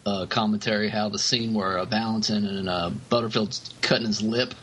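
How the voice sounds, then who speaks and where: A man co-hosting a podcast in casual talk, halting and repeating words as he goes. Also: on the low side, steady tone, fairly bright tone, a little hiss or hum, low-quality recording. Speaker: podcast co-host. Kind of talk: casual discussion.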